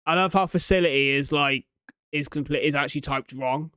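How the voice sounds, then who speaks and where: A man with a clear, smooth voice pitched a little high reacts casually to a video. He talks his thoughts through haltingly as he goes. The sound is close and dry. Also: pushed and bright, no echo. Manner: halting, thinking aloud.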